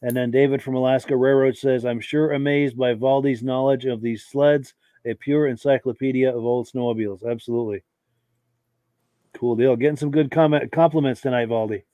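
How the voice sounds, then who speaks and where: A man hosting a live podcast who has a cold and sounds hoarse, reading out viewer comments with short, easygoing reactions. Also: mid-range voice, a little fast, no echo. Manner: relaxed, reads comments aloud with brief reactions. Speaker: podcast host.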